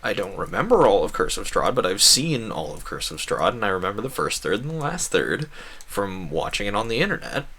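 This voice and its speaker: A man's voice: on the low side, big pitch swings, a little fast, pushed and bright, husky. A man chatting casually as he streams a game, going off on rambling, enthusiastic tangents. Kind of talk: casual chat while playing a game.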